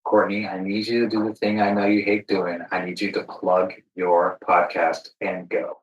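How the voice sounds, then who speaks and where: Guy podcast host, lowish voice, roomy echo, kinda self-deprecating and emphatic, chatty. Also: flat delivery, moderate pace, muffled recording. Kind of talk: casual chat. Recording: echoey room, distant from mic.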